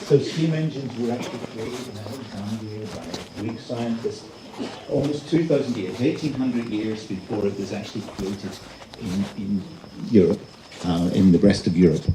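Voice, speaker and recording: A man giving a public lecture as an invited speaker, presenting slides in a halting, pausing delivery. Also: on the low side. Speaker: invited conference speaker, robotics researcher. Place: live talk at a festival venue. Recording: noisy live event recording.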